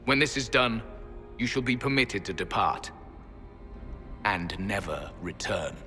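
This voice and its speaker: An actor voicing a proud, weary ancient elf guardian in a video game, speaking in stern, formal, archaic phrases. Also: male voice, lowish voice, lively intonation, unhurried, bright, forceful tone. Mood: resolute. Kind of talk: dramatic video game acting. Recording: long hall reverb, music underneath.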